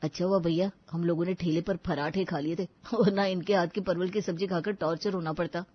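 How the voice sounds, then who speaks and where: A woman's voice: lowish voice, steady tone, fairly quick, husky, highs cut off. Woman acting evasive, placating young husband. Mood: smug. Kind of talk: animated family story acting.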